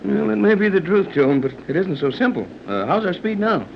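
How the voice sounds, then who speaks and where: A friendly sea captain talks fast in a fairly high, swooping voice. He is practical and folksy, in an old adventure serial with a muffled radio sound. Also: sing-song, creaky, heavily acted. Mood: amazed, questioning. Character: affable adult sea captain, practical, easily amazed. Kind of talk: 1930s radio serial acting. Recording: noisy, dull 1930s radio recording.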